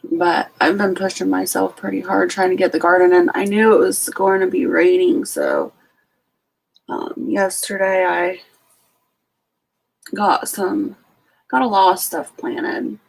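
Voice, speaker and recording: A woman's voice: lowish voice, steady tone, unhurried, gravelly, pauses often, breathy edge, low-quality recording. A sleepy woman hosting a late-night casual live stream from home, greeting each viewer by name. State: sleepy, just out of bed. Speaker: homestead channel host.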